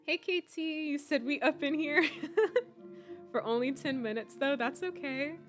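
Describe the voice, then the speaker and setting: A slightly hoarse woman in her twenties chats away with her viewers while she plays, upbeat and quick to laugh. Her voice sits a little on the high side. Soft game music plays quietly underneath. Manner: chatty and upbeat, laughs often. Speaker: streamer playing a horror game. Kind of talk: casual live-stream chat, reading out viewer comments.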